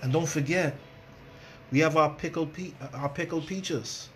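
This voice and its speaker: A man's voice: on the high side, measured pace, fairly bright tone, soft background music. A middle-aged man hosting a casual cooking demonstration, chatty and repeating phrases for emphasis. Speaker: chef and cooking show host.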